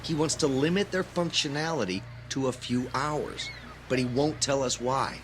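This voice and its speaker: A man's voice: mid-range voice, normal speed, a little hiss or hum, natural voice. A man acting in an audio drama as an earnest, idealistic young graduate student with an intense, preachy manner. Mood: earnest, explaining.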